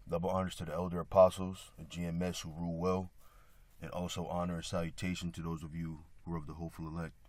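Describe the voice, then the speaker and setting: A man with a slightly raspy, hoarse voice giving a casual religious talk, halting with many restarts and frequent throat clearing. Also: deep voice, darkish tone. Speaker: religious video speaker.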